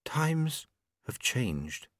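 A man's voice: mid-range voice, expressive, breathy edge, no room sound, studio quality, voice pushed up. Man doing a faint, distant ghostly voice. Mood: hushed. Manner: slow, hushed, solemn. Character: faint disembodied young man's voice, weak and distant.

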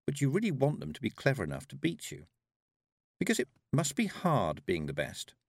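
A man's voice: medium pitch, huge pitch swings, fairly quick, gravelly, dry recording, studio quality, voice pushed up, full character voice. A man voicing a plucky, clever young apprentice witch, an earnest and direct girl in a storybook reading. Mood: bold.

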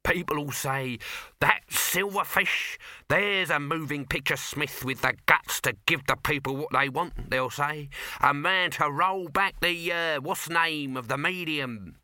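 A man's voice: a little high, unhurried, bright, forceful tone, no room sound. A man voicing a persuasive, enthusiastic male huckster promoter in a comic audiobook. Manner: persuasive, salesmanlike.

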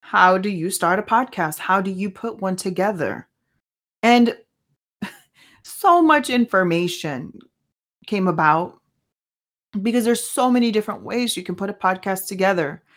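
A woman's voice: mid-range voice, lively intonation, measured pace, long pauses, a bit bright. A woman whose first language is Spanish, hosting her own faith podcast in an earnest, conversational monologue that often asks herself questions. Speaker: podcast host.

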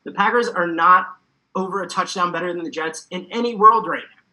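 A man's voice: high-pitched, brisk, bright, forceful tone, poor audio. A man chatting casually about sports bets as a podcast guest, playful and a bit hammy, with informal slang. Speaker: recurring podcast guest giving betting picks. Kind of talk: casual sports betting chat.

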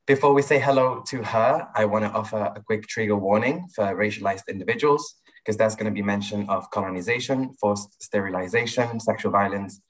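A man's voice: fairly low pitch, low-quality recording. A man in his early twenties from Spain with a Spanish accent, hosting a podcast with earnest, slightly formal delivery. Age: early 20s. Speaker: podcast host. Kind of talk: scripted podcast intro and interview questions.

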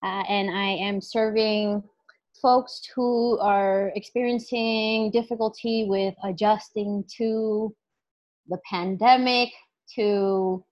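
A woman's voice: medium pitch, steady tone, takes it slowly, a little mellow, highs cut off. A woman who is a clinical psychologist and life coach, speaking as an interview guest in a thoughtful, casual way, full of fillers and restarts. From New York, USA.

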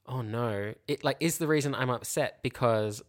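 A man's voice: mid-range voice, normal speed. A man co-hosting a casual, reflective chat, explaining things in a hedging way full of filler phrases. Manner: hedging, explanatory, frequent 'you know' and 'like'. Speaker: podcast co-host.

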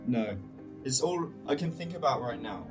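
A man's voice: medium pitch, animated, normal speed, close to an everyday voice. A young man with a British accent acting a grieving, headstrong, insistent character in a radio drama. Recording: distant voice under prominent music.

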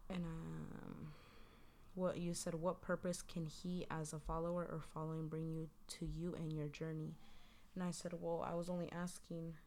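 Very low-voiced woman, fairly even delivery. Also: moderate pace. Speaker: podcast guest. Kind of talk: casual chat, recounting a conversation.

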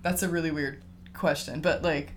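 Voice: medium pitch, steady tone, moderate pace. A person in their twenties chats easily and asks personal questions. The voice sits in a middle range. There is faint noise in the background. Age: 20s. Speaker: interviewer. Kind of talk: casual conversation.

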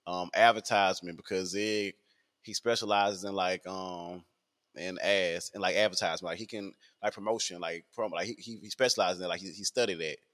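A man's voice: deep voice, steady tone, a little fast, a bit bright. A man, talking in a rambling casual podcast monologue full of fillers and repeats. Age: 20s.

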